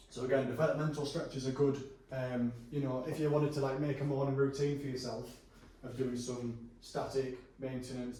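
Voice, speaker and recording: A man working as a personal trainer, calmly talking a client through stretches in a gym, his voice a little hoarse. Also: mid-range voice, slight background noise. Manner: relaxed, reassuring instructions with frequent fillers. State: going hoarse. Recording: echoey room from a distance.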